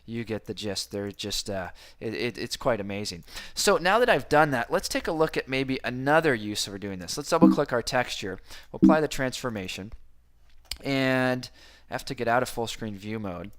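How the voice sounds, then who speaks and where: A man teaching a software tutorial who sounds enthusiastic as he talks through each click, and his voice is slightly hoarse from a cold. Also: medium pitch, lively intonation, moderate pace. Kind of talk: screen-recorded software tutorial.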